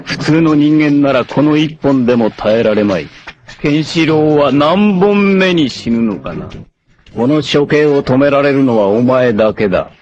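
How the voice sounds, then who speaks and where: An actor playing an arrogant, obsessive warlord villain in a 1980s anime, haughty, menacing and theatrical in delivery. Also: male voice, mid-range voice, animated, measured pace, a little mellow, highs cut off, slight background noise, natural voice. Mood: cold menace. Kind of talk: dramatic 1980s anime voice acting.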